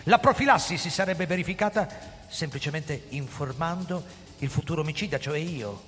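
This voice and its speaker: An actor playing a hunted middle-aged police chief in a radio play, telling his story theatrically, moving between hushed narration and agitated outbursts. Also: a little high, lively intonation, moderate pace. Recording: long hall reverb, music underneath. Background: music.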